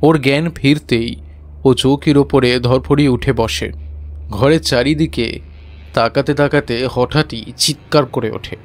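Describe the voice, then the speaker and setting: A man's voice: medium pitch, measured pace. A man giving a dramatic first-person narration of a horror audio story.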